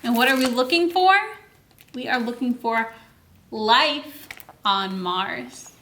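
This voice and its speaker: A woman hosting story time as a children's librarian, teacherly and animated, explaining a picture book to young children with questions. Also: fairly high pitch, takes it slowly, slight background noise. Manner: animated, teacherly, encouraging participation.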